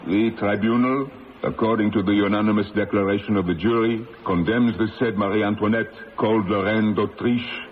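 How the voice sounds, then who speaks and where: Actor as stern judge on tinny old radio. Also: male voice, lowish voice, fairly even intonation, fairly quick, lightly acted. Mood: stern, official. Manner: formal, declamatory, unyielding. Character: stern, accusing male tribunal judge of revolutionary France. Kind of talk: old-time radio drama acting. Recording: noisy, very narrow-band old radio.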